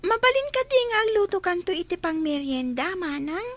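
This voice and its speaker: Very high, childlike voice of a sweet, polite little girl played by a woman, close and dry, eager to please. The delivery is that of radio-style drama. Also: very animated, fairly quick, fairly bright tone, no echo, exaggerated voice. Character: sweet, eager young girl, the employer's daughter.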